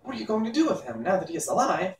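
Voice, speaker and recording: A fretful young boy who pleads and protests in a raised, high voice, as a man reading a storybook puts him on. There is a roomy echo and faint hiss around him. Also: sing-song, rapid-fire, far from the mic. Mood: anxious pleading. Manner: worried and pleading. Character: anxious young boy servant.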